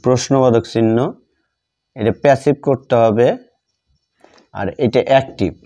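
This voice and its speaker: A man with a Bengali accent teaching grammar step by step, dictating word by word in a halting, repetitive way. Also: medium pitch, steady tone, dark tone.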